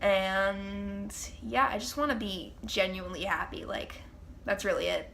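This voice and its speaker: A woman's voice: medium pitch, unhurried, gravelly, a bit bright, a little hiss or hum. A young woman with a congested, stuffy nose, chatting as host of her own Q&A vlog with gushing fan enthusiasm. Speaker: channel host. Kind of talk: casual Q&A vlog chat.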